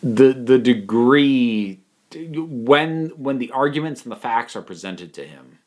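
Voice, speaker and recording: Male reviewer, medium pitch, casual and opinionated, stresses key words firmly. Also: expressive, slow.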